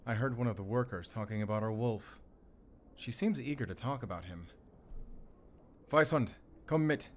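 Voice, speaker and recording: An actor playing a composed, dryly joking German wolf hunter and spy in an audio roleplay, with a German accent and a measured, formal manner. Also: male voice, mid-range voice, background noise, close to an everyday voice. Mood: calm, confiding. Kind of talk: cinematic audio roleplay acting, speaking to the listener.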